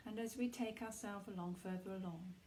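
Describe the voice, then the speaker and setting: A woman leading a guided meditation, giving soft, unhurried instructions in a soothing, reassuring manner with pauses between phrases. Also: medium pitch, fairly even intonation, slight background noise.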